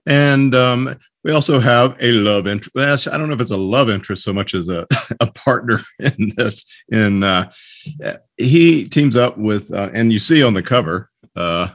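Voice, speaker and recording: A man interviewing casually as a podcast host, speaking haltingly as he searches for his words. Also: mid-range voice, no echo, studio quality.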